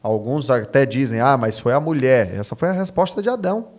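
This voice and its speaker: A man's voice: mid-range voice, lively intonation, brisk, darkish tone. A Brazilian man, a pastor, talking as an interview guest in a didactic, preaching way, repeating key phrases for emphasis.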